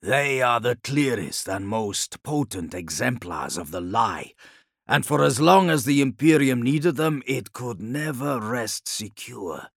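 A man playing a grave, proud warlord khan in an audiobook, contemplative, with measured and formal phrasing. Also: medium pitch, sing-song, gravelly, dry recording, studio quality, full character voice.

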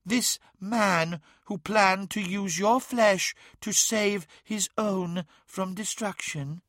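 A man's voice: high voice, slow, a bit bright, dry recording, studio quality, pitched above the performer's own voice. A man playing an ancient, boastful demon god, speaking in a grandiose, taunting and commanding way in a fantasy audiobook. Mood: tempting, scornful.

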